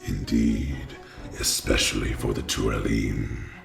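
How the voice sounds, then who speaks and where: Gravelly, slow vampire lord. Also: male voice, a bass voice, fairly even intonation, soft background music, heavily acted. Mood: cold, scheming. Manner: slow, imperious, menacing. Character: arrogant, contemptuous vampire lord, huge and commanding. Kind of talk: fantasy audio drama acting. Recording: roomy reverb.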